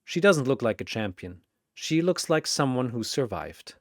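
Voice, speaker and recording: A man's voice: mid-range voice, sing-song, moderate pace, no room sound, clean studio sound. A man narrating a scripted fantasy story dramatically, in theatrical, punchy phrases.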